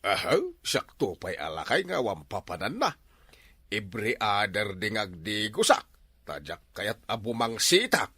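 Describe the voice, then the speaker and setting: A man's voice: on the low side, sing-song, measured pace, bright, forceful tone, husky, no echo, heavily acted. A man playing a joking older neighbour in a comedy drama who teases and laughs a lot. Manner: teasing, laughing. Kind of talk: comedy web drama acting.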